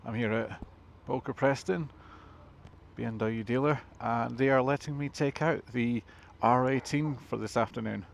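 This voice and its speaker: A man's voice: medium pitch, normal speed, vocal fry. A man with a slightly blocked nose, chatting casually as he reviews a motorcycle while riding it and describing each move. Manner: chatty, narrates his actions while riding. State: sniffly, a bit blocked nose. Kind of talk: casual ride commentary. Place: on a motorcycle on the road outdoors. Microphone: action camera mounted on chin. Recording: loud engine and wind noise.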